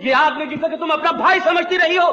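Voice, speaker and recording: A stern, declaiming family patriarch. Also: male voice, very high voice, a little fast, bright, forceful tone. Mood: accusing. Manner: accusing, declamatory. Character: stern older family patriarch exposing an impostor. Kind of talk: old film drama. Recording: dull old film soundtrack with music.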